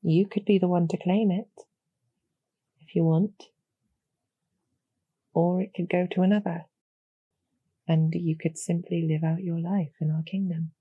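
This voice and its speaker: Woman doing a demon succubus lady, calm n knowing, breathy gravelly low voice, really slow and softly persuasive, intimate roleplay audio. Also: no echo, narrow, tinny audio. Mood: solemn, coaxing. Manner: measured, deliberate, softly persuasive. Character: calm, knowing demoness succubus archer, former hero, loyal to her queen.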